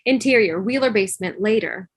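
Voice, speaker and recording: A woman's voice: medium pitch, a little fast, breathy edge, no room sound, clean studio sound. A woman reading scripted stage directions as narration on a video call. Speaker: stage-direction reader in a table read.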